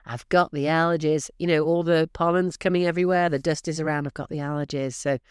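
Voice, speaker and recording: A middle-aged woman host coaches her listeners in a brisk, emphatic way, calling them "guys". Her voice is smooth and clear underneath, though stuffy and snuffly from allergies. The recording is close and dry, with no room sound. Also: low-pitched, steady tone, darkish tone, no room sound. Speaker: real estate podcast host. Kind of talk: direct-to-listener podcast advice.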